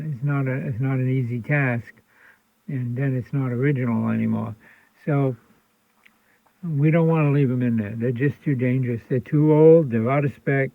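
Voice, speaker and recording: A man's voice: medium pitch, gravelly, no room sound. A man casually explaining a repair at his workbench, rambling with frequent ums and restarts. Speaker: radio restoration hobbyist host. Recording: tinny phone-like sound.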